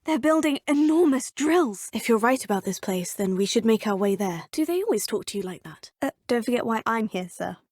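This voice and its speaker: A polite, curious young witch student from a video game, with a crisp, well-spoken delivery. Her voice sits fairly high and comes across close and dry, with no room around it. Each line is a clean, separate studio game clip. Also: animated, fairly quick, hardly any pauses, a little mellow, no room sound. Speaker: player character. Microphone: close studio mic.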